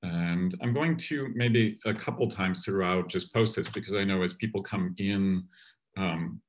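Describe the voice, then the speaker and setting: A man in his fifties delivers an academic lecture from his home study over a video call. He speaks in a lowish voice, often repeating words and pausing on fillers. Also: a little hiss or hum. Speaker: guest lecturer. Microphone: laptop mic on a video call.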